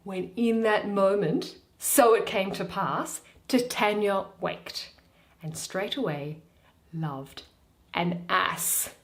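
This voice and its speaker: A woman's voice: medium pitch, takes it slowly. A woman giving a theatrical solo reading of a Shakespeare play, announcing each character before the lines. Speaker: reader of a play, channel host.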